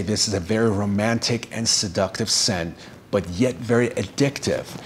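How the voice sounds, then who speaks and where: A man's voice: fairly low pitch, slight background noise. A middle-aged man, a native Farsi speaker, giving an effusive, savoring product review to camera as a fragrance reviewer. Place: indoor room, seated.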